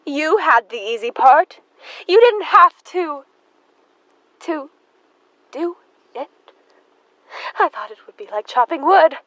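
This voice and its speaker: High-pitched, slow-speaking, unhinged sister acted by a young woman. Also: animated, a bit bright, no echo, full character voice, rough recording. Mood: agitated, haunted. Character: Emma, devout, unhinged axe-murdering sister, adult woman. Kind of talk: high school audio drama acting.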